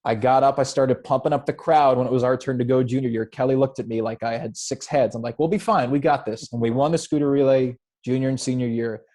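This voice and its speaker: Animated man telling a school story. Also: medium pitch, fast talker, few pauses. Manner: animated, self-mocking storyteller. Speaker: alumnus panelist. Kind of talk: anecdotal storytelling.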